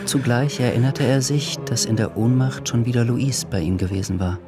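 A man narrating a literary radio drama with measured, deliberate phrasing. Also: medium pitch. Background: music.

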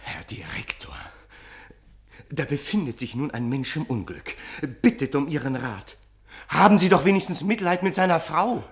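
An actor in a German radio play playing an earnest, anxious gentleman, speaking formally and urgently. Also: on the high side, expressive, normal speed, husky. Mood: anxious pleading. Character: earnest, anxious gentleman narrator pleading for his trapped friend.

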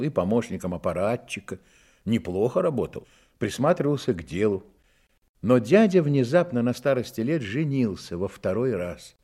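A man narrating a radio drama as an expressive storyteller in a scripted, dramatic style. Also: mid-range voice. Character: storyteller narrating young detectives' lives, expressive.

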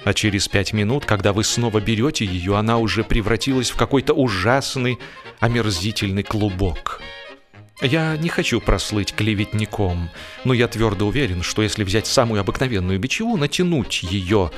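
A man narrating a humorous literary story in an expressive, measured way with deliberate pauses. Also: on the low side, faint music behind. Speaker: audiobook narrator.

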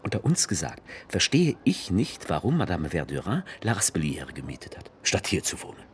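An actor playing a fussy, chattering society gentleman in a theatrical radio drama, exclaiming and confiding as he goes. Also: low voice, a little hiss or hum, full character voice. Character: fussy, chattering male society guest, new to the resort.

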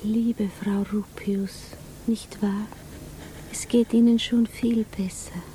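An actress in a 1950s radio drama playing an anxious, brooding woman who speaks in a hushed, introspective manner. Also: mid-range voice, little pitch movement, takes it slowly, close to an everyday voice. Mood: anxious concern. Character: anxious, brooding provincial woman, inwardly troubled. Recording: noisy 1950s radio recording.

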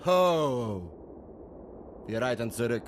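A man's voice: mid-range voice, animated, slow pace, noisy background. A man acting in a radio drama as a stern Comanche raid leader, terse and commanding. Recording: loud music over the dialogue. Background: loud music.

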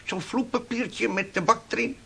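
An actor playing a garrulous, teasing old uncle in a Dutch radio play, chuckling and stumbling over repeated words. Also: male voice, high-pitched, moderate pace, vocal fry, bright, forceful tone, slightly rough, heavily acted. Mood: chatty, defensive. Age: older. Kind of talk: Dutch radio drama acting.

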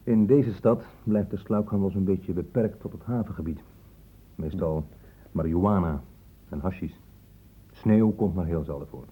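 A blunt police inspector in a radio play, sarcastic and brusque. The voice sits fairly low with a husky grain, heard through a thin telephone-like band. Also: male voice, moderate pace. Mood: matter-of-fact. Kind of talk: Dutch radio drama acting. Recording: muffled phone-like old radio recording.

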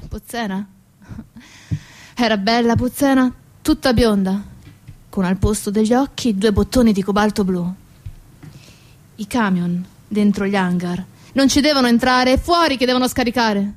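Mid-pitched woman, theatrical storyteller. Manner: short, deliberate storytelling phrases, direct address. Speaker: stage actress and narrator. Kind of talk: theatrical first-person monologue.

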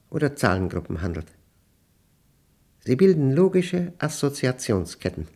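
Unravelling professor, radio drama monologue. Creaky voice, lively intonation, theatrical and emphatic diction. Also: male voice, mid-range voice, exaggerated voice. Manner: theatrical, emphatic, deliberate diction in short clipped phrases. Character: obsessive, unravelling male professor dictating his diary. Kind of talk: German radio play monologue, dictated diary.

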